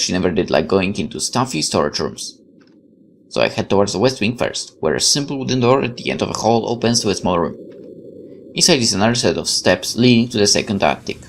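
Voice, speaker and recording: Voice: deep voice, slight background noise. A gamer doing let's play commentary, reading game text aloud expressively and questioning it. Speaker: gaming YouTuber.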